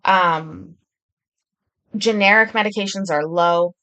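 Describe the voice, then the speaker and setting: A woman's voice: mid-range voice, takes it slowly, bright, forceful tone. A woman from Britain hosting a live stream in casual, rambling chat, reading and answering viewer comments with lots of ums and restarts.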